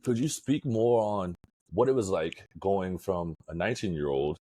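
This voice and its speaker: A man's voice: deep voice, normal speed, mellow. A man hosting an interview, asking loose, laid-back questions full of fillers. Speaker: podcast host, interviewer.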